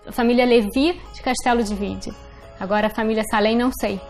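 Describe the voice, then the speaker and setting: A woman's voice: on the high side, steady tone, brisk, a little mellow, faint music behind. A woman with a Brazilian accent telling personal family history in a documentary interview. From born in Portugal to Brazilian parents.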